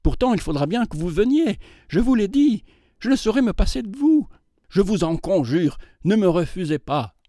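A man's voice: high-pitched, sing-song, moderate pace, warm tone, dry recording, clean studio sound, pitched above the performer's own voice. A man voicing an aging, gallant bachelor collector who pleads in an earnest, courtly, emphatic way. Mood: pleading.

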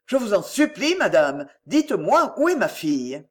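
A woman's voice: mid-range voice, very animated, moderate pace, natural voice. A woman voicing an anguished middle-aged bourgeois father in an audiobook, formal and urgent in manner. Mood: anxious, pleading. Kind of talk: acted audiobook dialogue.

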